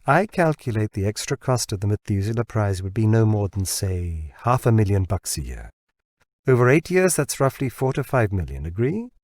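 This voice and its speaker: A man voicing a shrewd biotech researcher in an audiobook, pitching insistently to his boss. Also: sing-song, a little mellow, no echo, clean studio sound. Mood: calculating. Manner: deliberate, insistent salesmanship. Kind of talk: audiobook character dialogue.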